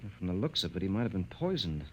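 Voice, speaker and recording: A stern, skeptical American family man in an old radio play. His low voice moves expressively as he questions brusquely. The vintage recording carries a faint haze of noise. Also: big pitch swings, fast, a little mellow. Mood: puzzled, troubled. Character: stern, skeptical father and government office man, easily exasperated. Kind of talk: 1950s radio drama acting.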